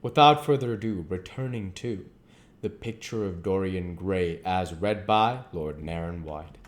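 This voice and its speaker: Low-voiced man reading a novel aloud. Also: unhurried. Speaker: audiobook reader. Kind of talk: literary narration read aloud.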